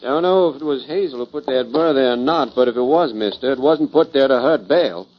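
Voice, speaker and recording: An actor playing a plainspoken, knowing rodeo cowhand with an American Western accent in a 1940s radio drama. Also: male voice, medium pitch, a little fast, close to an everyday voice. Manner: folksy, laconic. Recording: muffled lo-fi 1940s radio broadcast.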